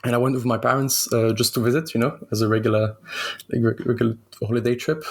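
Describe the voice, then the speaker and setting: Gravelly Swiss guest, relaxed and hesitant. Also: male voice, mid-range voice, fast. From Switzerland. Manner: relaxed, hesitant, many ums and restarts. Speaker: guest concept artist. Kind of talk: casual interview storytelling.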